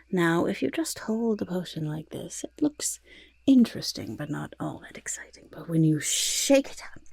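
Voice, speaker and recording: A woman voicing a cheerful, mischievous goblin potion-maker in a soft, husky ASMR half-whisper, fussy and chattering. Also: on the low side, dry recording, heavily acted. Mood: delighted, mischievous. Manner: fussy, chattering, repeats words. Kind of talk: soft-spoken ASMR roleplay.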